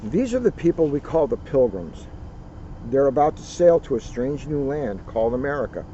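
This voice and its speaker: Male read-aloud storyteller, mellow tone, dramatic deliberate phrasing, outdoor recording with faint vehicle noise. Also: mid-range voice, sing-song, dull audio. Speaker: police officer reading a children's book. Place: outdoors at a turkey farm.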